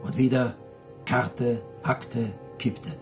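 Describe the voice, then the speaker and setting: A man's voice: medium pitch, fairly even intonation, drawn-out words. A man narrating a literary radio drama from a script. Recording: music under the voice.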